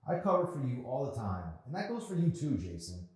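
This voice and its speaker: A man's voice: mid-range voice, big pitch swings, fairly quick, a little mellow, voice pushed up, lightly acted. A man voicing an awkward, defensive, reluctant teenage boy in a novel reading. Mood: pleading, annoyed. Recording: very echoey room.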